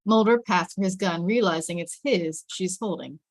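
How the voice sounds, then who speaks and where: A woman's voice: lowish voice, normal speed, no echo. A woman reading stage directions as the narrator of a scripted drama table read.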